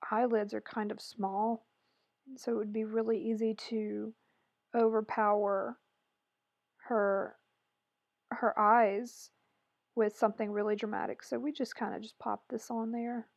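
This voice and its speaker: A woman with a hoarse, raspy voice chatting through a makeup tutorial voice-over, rambling and correcting herself as she goes. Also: on the high side, a little mellow, no echo, muffled recording. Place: voice-over recorded separately from the video.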